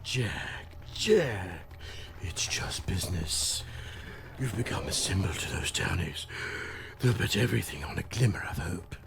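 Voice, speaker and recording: Gravelly, low-voiced crime boss. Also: male voice, animated, whispered, full character voice. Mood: coaxing, conspiratorial. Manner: oily, threatening, drawn-out. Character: seedy, menacing male crime boss, scheming and slimy. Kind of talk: video game cutscene acting. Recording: music under the voice. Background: music.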